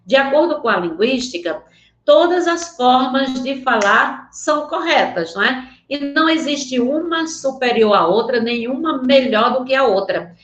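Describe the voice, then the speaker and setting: Earnest Northeastern Brazilian woman teacher, slightly high voice, echoey room. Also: expressive, moderate pace, lo-fi sound. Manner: earnest, checks in with students often. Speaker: Portuguese teacher. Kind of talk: online class lecture with slides.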